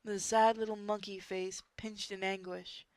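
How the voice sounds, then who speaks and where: A woman giving an amateur dramatic story narration, reading deliberately and expressively. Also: medium pitch, level tone, darkish tone, dry recording. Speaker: narrator of online group readings.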